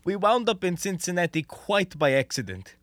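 A man plays an earnest Soviet defector in a radio comedy. The voice is notably high for a man and recorded close and dry. He speaks with courteous, formal, slightly stilted phrasing. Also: very animated, normal speed, fairly bright tone, no echo. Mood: confessing, anxious. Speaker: student radio actor. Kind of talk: comedic radio play acting.

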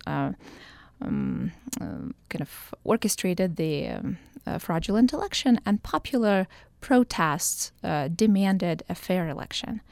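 A woman from Ukraine speaks as a professor on a radio interview, explaining things at a measured, thoughtful pace and pausing often on fillers. Her voice has a gravelly, creaky edge at a middle pitch. The sound is dry and close, with no room echo. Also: a little mellow. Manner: thoughtful, many filler words and restarts. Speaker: political science professor, guest.

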